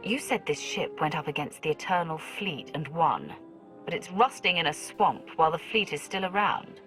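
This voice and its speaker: A woman's voice: low voice, animated, moderate pace, fairly bright tone, faint music behind, low-quality recording. An actress playing a blunt, skeptical female Sith warrior in a video game, direct and questioning.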